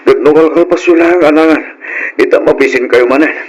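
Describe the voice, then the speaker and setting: An actor playing a hardworking, respectful farmer in a radio drama, with an Ilocano accent. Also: male voice, on the high side, fairly quick, natural voice, poor audio. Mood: casual. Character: hardworking, respectful grown farmer, easygoing son and husband. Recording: old radio drama, faint noise.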